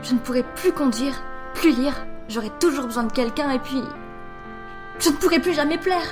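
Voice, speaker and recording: A woman's voice: high-pitched, moderate pace, music in the background, close to an everyday voice. An actress playing a bitter, depressed seventeen-year-old girl who has lost her sight, in an amateur audio drama. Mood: bitter, dejected.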